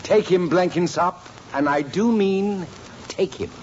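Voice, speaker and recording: A man's voice: high voice, a little slow. A man playing a pompous, greedy department store floor manager who talks with plummy self-importance in a 1950s radio sitcom. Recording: muffled old radio recording, faint hiss.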